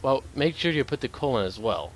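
A man's voice: mid-range voice, expressive, brisk, low-quality recording. A man in casual online game chat, joking mischievously with friends. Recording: faint background noise.

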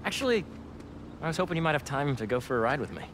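A man's voice: fairly high pitch, big pitch swings, fast talker, background noise. A man playing an upbeat young American in a video game, a loyal old friend who speaks casually and naturally. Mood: playful, eager. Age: young adult. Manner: casual, conversational. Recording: music behind dialogue.

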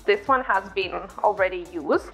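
A woman's voice: medium pitch, normal speed, fairly bright tone, slightly rough, faint music behind, low-quality recording. A woman, hosting a cooking tutorial in her home kitchen, chatty and bubbly on a lapel mic. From Ukraine. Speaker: cooking channel host. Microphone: clip-on lapel mic.

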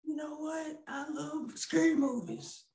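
A man's voice: very high-pitched, fairly even intonation, a little slow, gravelly, crowd noise, exaggerated voice. A bawdy, churchgoing old grandma puppet who drawls her answers and calls everyone baby, voiced by a man. She sounds a touch roomy, like a small home room on a video call. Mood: sassy.

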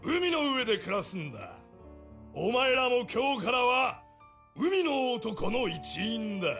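A gruff, barking sea captain for a visual novel. His voice sits high and swings widely. Clear game music plays behind him. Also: sing-song, normal speed, a bit bright, heavily acted. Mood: rousing. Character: gruff male ship captain, rough sailor.

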